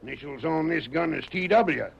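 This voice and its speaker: An actor playing a stern, suspicious frontier sheriff with a blunt, commanding manner and an American Western accent, in an old radio drama. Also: male voice, a little high, normal speed, gravelly. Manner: blunt, interrogating. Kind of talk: 1940s radio Western acting. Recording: dull, hissy old radio recording.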